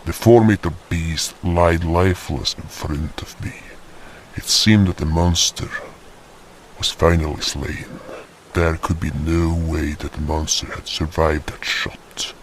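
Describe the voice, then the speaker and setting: Slow, deep-voiced man playing a solemn hunter. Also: steady tone, a little hiss or hum, low-quality recording. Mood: grave, tense. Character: solemn hunter recounting a monster fight. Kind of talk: scripted dramatic fantasy story narration. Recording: music bed behind the voice.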